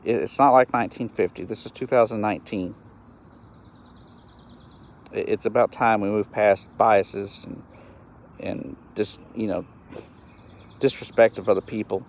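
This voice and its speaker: A man in his late thirties speaks on a solo live stream with a fairly low voice. He sounds earnest and frustrated, and he often trips over his words and starts them again. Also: fairly even intonation, a little slow, pauses often, slight background noise. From East Texas, US. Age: 30s. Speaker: host of a live-stream show.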